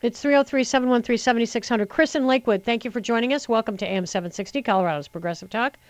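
A woman hosting a call-in radio talk show, chatty and opinionated, talking briskly to callers. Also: on the high side, dry recording. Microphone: studio microphone.

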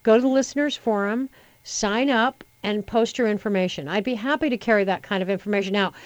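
A woman hosting a call-in radio talk show, chatty and opinionated, talking briskly to callers. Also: medium pitch, no room sound. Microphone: studio microphone.

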